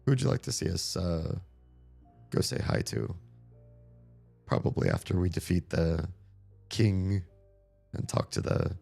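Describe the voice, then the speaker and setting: A man's voice: sits low, gravelly, mellow. A man in his thirties, a streamer chatting casually while playing a game, rambling with lots of fillers as he loses his voice. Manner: rambling, easygoing, many fillers. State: losing his voice. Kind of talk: casual game livestream chat.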